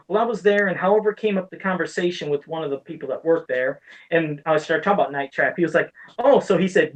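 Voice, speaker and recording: A man's voice: a little high, low-quality recording. A man chatting casually about games as a podcast co-host, telling rambling stories with plenty of swearing. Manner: profane, rambling storyteller. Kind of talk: casual gaming chat.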